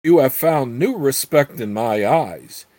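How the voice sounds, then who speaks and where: A man's voice: mid-range voice, animated, slow, a little mellow, no room sound. A man reading aloud the lines of a stern, gray-bearded old male count, dry and commanding.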